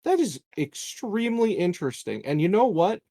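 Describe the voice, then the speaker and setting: A man's voice: high-pitched, sing-song, moderate pace, creaky, mellow, no echo. A man talking casually as a player in a tabletop game.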